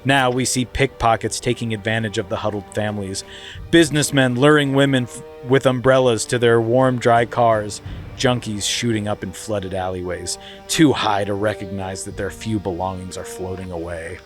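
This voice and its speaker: A man's voice: fairly low pitch, steady tone, moderate pace, soft background music. A man hosting a podcast, reading a screenplay aloud in a mock-dramatic comedic way. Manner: mock-dramatic, breaking into laughter. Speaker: podcast host.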